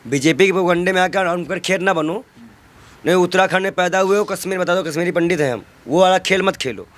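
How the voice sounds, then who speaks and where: High-pitched, argumentative man. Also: fast. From North India. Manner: argumentative, emphatic, with rhetorical questions and repetition. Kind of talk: heated political interview.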